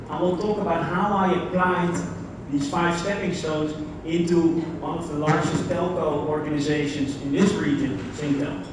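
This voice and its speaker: A man from the Netherlands with a Dutch accent giving a conference talk, speaking conversationally and putting questions to the audience. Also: on the high side. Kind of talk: conference keynote presentation. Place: large conference hall. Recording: very echoey hall, distant mic, background noise.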